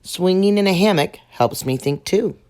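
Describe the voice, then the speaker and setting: A woman's voice: lowish voice, expressive, normal speed, dark tone, clean tone, voice pushed up, close to an everyday voice. A woman voicing a helpful, thoughtful female spider in a storybook, musing and matter-of-fact.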